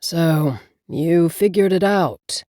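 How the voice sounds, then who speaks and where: A woman voicing a concerned male talking cat, gentle and slow. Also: lowish voice, lively intonation, dry recording, studio quality.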